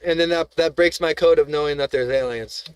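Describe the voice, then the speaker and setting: A man's voice: on the high side, fairly quick, clear, resonant tone, dry recording. A man hosting a live stream, ranting in a heated, profane, rambling way, full of restarts and rhetorical questions.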